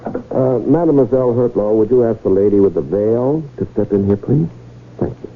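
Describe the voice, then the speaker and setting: A man's voice: fairly low pitch, animated, normal speed. A man playing a fussy, persuasive con man in a 1970s radio drama, talking in an obsequious, ceremonious manner. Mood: urging, deferential. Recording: tinny, noisy old radio broadcast recording.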